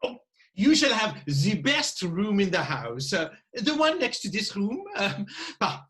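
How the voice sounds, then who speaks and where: A man's voice: high-pitched, very animated, measured pace, a bit bright, exaggerated voice. Lilting, ingratiating man acting a French restaurateur. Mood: pleading, coaxing. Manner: coaxing, effusive, hesitant little phrases. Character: ingratiating French restaurant proprietor, eager to please. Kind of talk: staged reading of Victorian farce.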